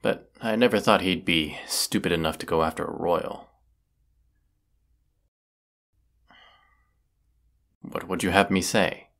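A man playing a proud, brooding, sardonic wizard of the woods in a scripted audio roleplay, speaking haughtily and deliberately. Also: deep voice, creaky, a bit bright. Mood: regretful, guarded.

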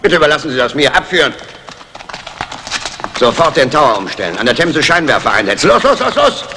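A man's voice: fairly high pitch, sing-song, fairly quick, background noise. A man playing an authoritative Scotland Yard inspector giving clipped orders in a 1960s radio crime drama. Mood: commanding. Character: brisk, commanding male Scotland Yard inspector.

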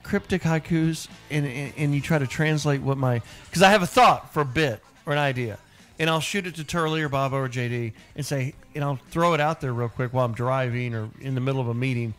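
A man's voice: mid-range voice, a bit bright, faint music behind. Brash teasing radio host man. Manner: brash, teasing, quick asides. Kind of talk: joking radio call-in banter. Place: home studio with headphones. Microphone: large studio mic on boom arm.

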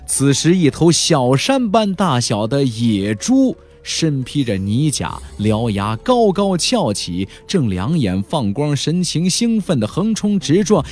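A man narrating a fantasy novel as a dramatic audiobook storyteller, theatrical, with drawn-out emphasis and colloquial touches. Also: medium pitch, a little mellow.

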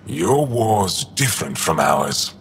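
An actor playing a gruff, war-hardened alien resistance leader in a video game, terse, dry and cynical with a gravelly voice. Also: male voice, lowish voice, sing-song, slow pace, slight background noise, full character voice. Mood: blunt, grim. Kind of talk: video game dialogue acting.

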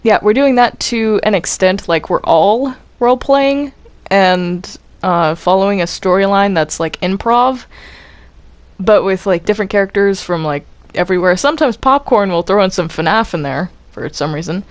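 A woman's voice: mid-range voice, expressive, moderate pace, dry recording. A woman chatting casually as she hosts a multiplayer game roleplay session. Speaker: host of a Minecraft roleplay series. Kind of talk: casual gaming chat.

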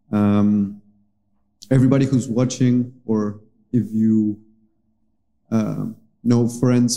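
A man in his thirties from Colombia speaks earnestly into a stand microphone, stopping often as he searches for words. His voice is very dark and mellow, with a middling pitch. He sounds like he is in a small cafe. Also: poor audio. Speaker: musician hosting a livestream jam. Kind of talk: casual spoken introduction to a livestream. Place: small cafe with stage, indoors. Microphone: vocal mic on a stand.

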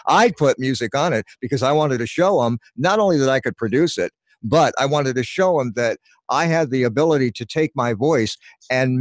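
Older man giving dry, unhurried advice. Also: medium pitch, no echo. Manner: easygoing, explains tips at length with fillers. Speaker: guest voiceover artist. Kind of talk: casual interview talk. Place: home radio studio with tape shelves, indoors.

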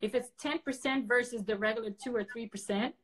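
A woman's voice: on the high side, fairly quick, low-quality recording. A woman in her thirties from the Netherlands, a native Dutch speaker, hosting a casual livestream interview from home over a webcam and laughing easily. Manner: chatty, laughs often, many fillers. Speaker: host. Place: bedroom at home, indoors. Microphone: webcam on a video call.

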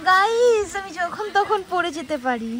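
A woman's voice: very high voice, sing-song, moderate pace, crowd noise. A woman vlogging on a rainy forest trail, chatting to the camera and joking about being soaked. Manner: chatty, self-mocking, talks to camera. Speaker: travel vlogger. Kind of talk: casual travel vlog chat. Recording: outdoor phone audio with loud background noise.

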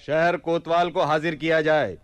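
Radio drama male actor: baffled young merchant turned mock ruler, blustery and theatrical, slightly high pitch. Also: fairly even intonation, normal speed, close to an everyday voice. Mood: commanding. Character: bewildered young merchant mistaken for a caliph, excitable. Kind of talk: radio play acting in theatrical Urdu-flavoured dialogue.

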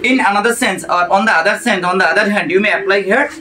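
A man's voice: high voice, fast talker, sharp tone. A man in his thirties with a Bangladeshi accent, teaching English grammar to a classroom and prompting students to finish his sentences. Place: crowded classroom full of students. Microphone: camera in the room.

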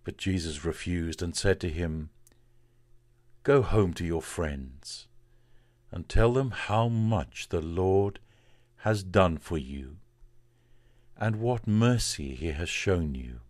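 A man, a priest, reading from the Bible in a solemn, measured and deliberate way. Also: low-pitched, animated, darkish tone, no room sound.

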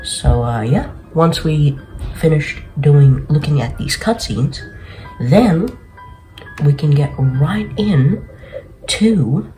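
A man's voice: on the high side, expressive, takes it slowly, warm tone, soft background music, low-quality recording. A young man hosting a gaming video with casual gameplay commentary, speaking into a desk mic in a bedroom. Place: small bedroom, indoors.

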